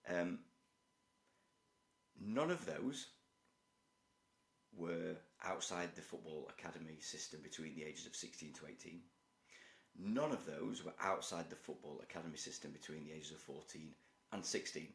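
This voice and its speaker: A man with a croaky voice, explaining his points to camera in a measured, structured way. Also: sits low, echoey room. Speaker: youth football researcher and parent educator. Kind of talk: direct-to-camera talk.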